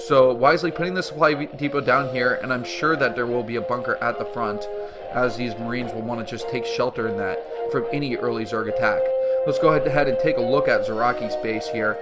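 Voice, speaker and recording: A man's voice: medium pitch, steady tone, a little fast. A man giving upbeat, explanatory play-by-play commentary on a strategy game, with fillers and restarts, background music behind. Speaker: game caster. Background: background music at the start.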